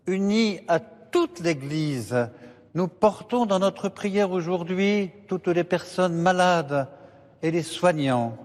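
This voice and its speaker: Celebrant priest; high, solemn, measured delivery in a reverberant chapel. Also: male voice. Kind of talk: liturgical address.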